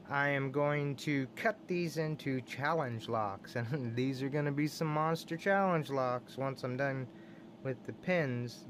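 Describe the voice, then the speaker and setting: Chatty Texan man with an excited drawl. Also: fairly high pitch, slight background noise. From Texas. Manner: enthusiastic, rambling, says y'all, chuckles often. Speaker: lock collecting channel host. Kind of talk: casual show-and-tell vlog.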